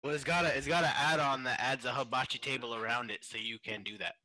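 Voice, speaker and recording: A man's voice: mid-range voice, steady tone, fast, bright, forceful tone, low-quality recording. A man co-hosting a podcast, teasing others and laughing often in casual chat. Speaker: podcast co-host.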